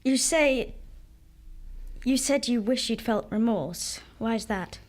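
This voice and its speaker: A woman's voice: fairly high pitch, slow. Actress as a hesitant, guarded woman journalist. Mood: probing. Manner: hesitant, terse, probing. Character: reserved, cautious woman journalist interviewing a prisoner. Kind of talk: audio horror drama, interview.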